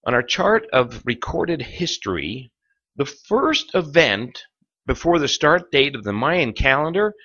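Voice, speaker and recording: Husky man lecturing with dramatic swings. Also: mid-range voice, pushed and bright, dull audio. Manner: theatrical, with rhetorical questions and suspenseful pauses. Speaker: lecturer and narrator. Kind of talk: dramatic documentary-style lecture narration.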